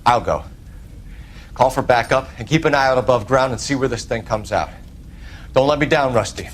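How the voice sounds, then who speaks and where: A man's voice: medium pitch, normal speed, slight background noise, close to an everyday voice. A man playing an earnest, upright police detective in a 1940s crime video game, speaking firmly and formally. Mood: resolute. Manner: firm, clipped, formal.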